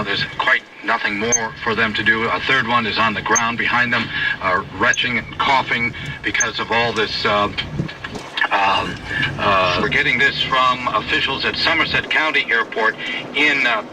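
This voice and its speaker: A man reporting breaking news live, shaken and halting with many fillers. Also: mid-range voice. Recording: distant car radio over road noise.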